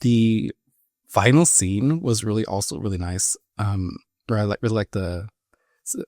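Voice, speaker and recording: A man co-hosting a podcast, reviewing films in a casual, halting conversational way. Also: on the low side, darkish tone, no room sound, clean studio sound. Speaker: podcast co-host. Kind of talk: casual film review chat.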